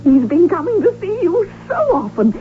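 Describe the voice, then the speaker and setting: A woman's voice: very high-pitched, big pitch swings, normal speed. An actress playing a cloyingly sweet, nosy, scheming archduchess mother in a radio drama, theatrical and cooing. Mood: gushing, nosy. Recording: dull old radio, faint noise.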